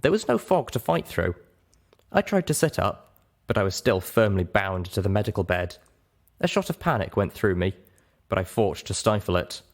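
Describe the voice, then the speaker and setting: A man narrating a story in the first person with an expressive, theatrical, dramatic delivery. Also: lowish voice, moderate pace, gravelly. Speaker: audiobook narrator.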